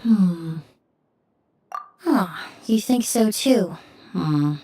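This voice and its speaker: An actress playing a composed, sharp young woman who works as a forensic cleaner in a video game, measured and articulate. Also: mid-range voice, animated, a little hiss or hum. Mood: calm, thoughtful.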